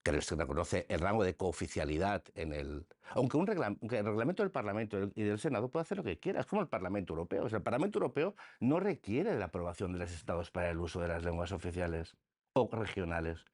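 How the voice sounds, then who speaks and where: Middle-aged man, emphatic politician who restarts often; low voice, lively swings. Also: fast, dry recording, studio quality. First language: Spanish. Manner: repetitive restarts, emphatic rhetorical questions. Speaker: interviewed politician. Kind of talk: political radio interview.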